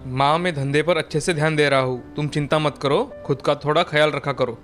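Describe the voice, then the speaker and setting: A man acts a kind-hearted young butcher in a story cartoon. His voice sits a little high and he speaks earnestly and politely, with soft music behind. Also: steady tone, brisk, lightly acted.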